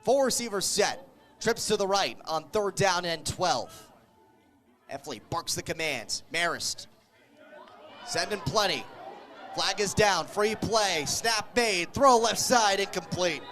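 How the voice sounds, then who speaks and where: High-voiced man calling football play-by-play. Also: expressive, takes it slowly, fairly bright tone, soft background music. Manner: clipped, vivid phrases that follow the action. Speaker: American football radio play-by-play announcer. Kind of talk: live sports play-by-play commentary.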